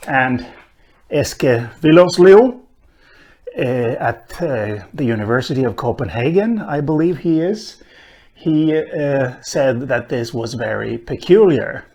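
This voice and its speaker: A middle-aged man from Sweden, a linguist lecturing at a whiteboard in a room with bookshelves, speaking in a measured way with frequent pauses. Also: medium pitch, a little mellow, breathy edge. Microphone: camera at medium distance.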